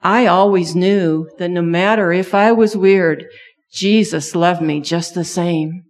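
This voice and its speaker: Slow-talking woman telling a children's story. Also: on the low side. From Thailand. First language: Thai. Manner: asks children many questions.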